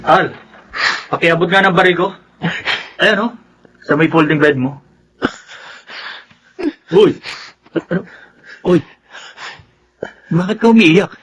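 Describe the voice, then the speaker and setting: High, excitable Filipino soldier buddy. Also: male voice, takes it slowly, long pauses, faint music behind. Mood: casual, curious. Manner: excitable, jokey. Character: boisterous, fun-loving young soldier buddy. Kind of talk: Filipino radio drama acting.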